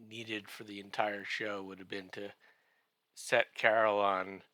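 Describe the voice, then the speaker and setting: A man giving a comedic podcast recap in a halting, drawn-out way, full of wry joking asides. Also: on the low side, vocal fry, pushed and bright, no echo.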